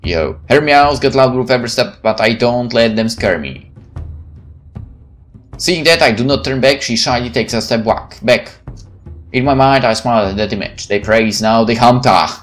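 A man's voice: mid-range voice, moderate pace, soft background music. A man playing a visual novel, reading it aloud and adding casual, playful asides. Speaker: gameplay streamer.

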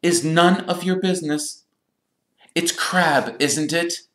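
A pompous teenage prefect boy as a man acts him out, raised in pitch and stiffly stern. He speaks as an affronted storybook authority figure, with room echo around him. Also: takes it slowly, a little hiss or hum, natural voice.

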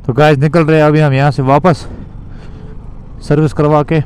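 A young man with a slightly high voice chats casually to his viewers as he rides a motorbike through town. He rambles in a loose, repetitive way, picked up by a mic on his camera rig, with faint traffic noise behind him. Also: flat delivery, moderate pace, darkish tone. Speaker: moto vlogger. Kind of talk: casual narration while riding a motorbike. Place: outdoors on a motorbike in city traffic.

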